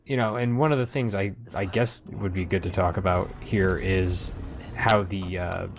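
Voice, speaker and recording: A man's voice: low-pitched, fairly even intonation, moderate pace, noisy background. An American man hosting a casual discussion, talking in a rambling, conversational way as he thinks aloud. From United States. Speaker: host of a gaming commentary.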